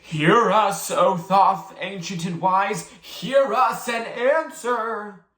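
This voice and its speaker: A storybook reader voicing a bossy, theatrical boy who schemes smoothly and likes to play high priest. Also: drawn-out words, a bit bright, echoey room. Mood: solemn, thundering. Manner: solemn chanting, mock-innocent persuasion.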